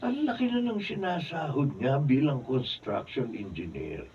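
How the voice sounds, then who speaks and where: A man's voice: medium pitch, big pitch swings, measured pace. A man acting a principled, humble father and construction worker in a Filipino radio drama. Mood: grave, admonishing. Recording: distant, phone-like, noisy studio audio.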